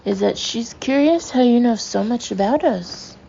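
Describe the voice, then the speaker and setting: A woman's voice: on the high side, lively intonation, moderate pace, vocal fry, dark tone, dull audio, a little hiss or hum, pitched above the performer's own voice. A woman reader voicing a polite, clever young girl inventor. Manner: polite, careful.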